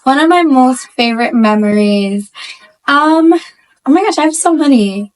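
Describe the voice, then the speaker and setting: Upbeat new teacher, woman, chatty with lots of ums, slightly high voice. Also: lively intonation, a little slow, low-quality recording. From Bangladesh, raised in the Bronx. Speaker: history teacher. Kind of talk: casual school interview.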